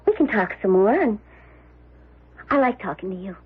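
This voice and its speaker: A woman's voice: medium pitch, lively intonation, normal speed, slightly rough. An actress playing a rich man's restless girlfriend in a radio drama, coy, coaxing and informal. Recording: thin, phone-like radio drama audio.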